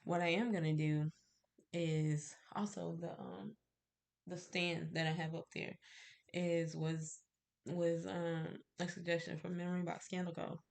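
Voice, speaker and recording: A woman's voice: lowish voice, little pitch movement, a little slow, creaky, darkish tone. A woman with a stuffy cold, sounding nasal, chatting casually to camera about her candle-making and rambling with fillers and little laughs. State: stuffy, congested.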